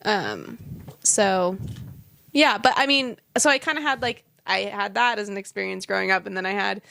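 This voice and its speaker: A woman's voice: medium pitch, animated, normal speed, gravelly, sharp tone, no room sound, studio quality. A woman in her twenties from Hawaii, chatting casually on a podcast and rambling through her childhood story with fillers. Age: 20s. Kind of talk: casual chat.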